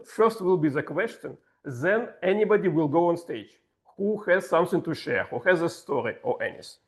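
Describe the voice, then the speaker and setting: High-voiced man with a heavy Russian accent. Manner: slow, halting non-native English with playful asides. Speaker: table topics master. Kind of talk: hosting a club speaking session.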